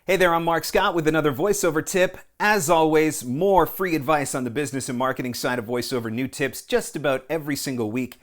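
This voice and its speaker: A man's voice: on the high side, a little fast, few pauses. A man in his forties with a North American accent talks conversationally as a coach hosting a tutorial, stressing key words. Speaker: voiceover coach and video host. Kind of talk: casual tutorial talk to camera. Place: small indoor room at home or office. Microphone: lapel mic.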